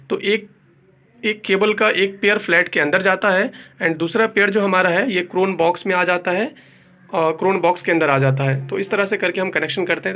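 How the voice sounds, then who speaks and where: A man with a distinctly high-pitched voice presents a practical installation tutorial. He explains like a working technician, going back over points to make them clear. English technical terms are mixed through his explanations. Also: fairly bright tone, a little hiss or hum. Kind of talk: spoken hands-on technical tutorial.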